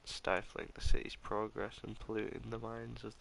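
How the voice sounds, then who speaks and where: A man hosting a let's play who sounds slightly nasal from allergies, musing and reading in-game documents aloud in a soft murmur. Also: on the low side, level tone, moderate pace, vocal fry, slight background noise. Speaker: gaming channel host.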